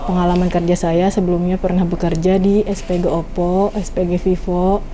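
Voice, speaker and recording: Young woman with an even, lowish voice. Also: moderate pace, slight background noise, rough recording. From Jakarta, Indonesia. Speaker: job interview candidate. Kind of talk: self-introduction and interview answers. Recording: music playing over the voice.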